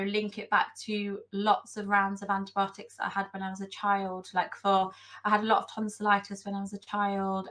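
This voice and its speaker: Hoarse, gravelly-voiced young woman. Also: medium pitch, sharp tone. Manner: chatty, many fillers. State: hoarse from a cold. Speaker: nutritional therapist guest. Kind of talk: casual video call interview. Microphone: video call.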